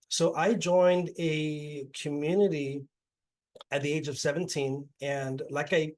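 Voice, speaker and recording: Man from los angeles, slightly high voice, earnest, reflective, video call. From Los Angeles, USA. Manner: earnest, reflective, with fillers and restarts. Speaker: panel guest, former cult member. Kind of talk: personal testimony on a webinar panel.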